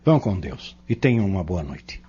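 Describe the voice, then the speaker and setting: Casting: male actor as calm devout mentor, dark raspy voice, instructive delivery, audio drama. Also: sits low, moderate pace, exaggerated voice. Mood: kindly. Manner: gentle, instructive. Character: patient, fatherly spiritual mentor, calm and devout. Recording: muffled lo-fi audio.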